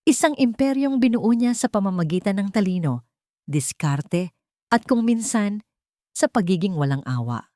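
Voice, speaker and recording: A woman with a Filipino accent narrating a scripted dramatic story in measured, deliberate phrases. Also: mid-range voice, lively intonation, mellow, dry recording, studio quality.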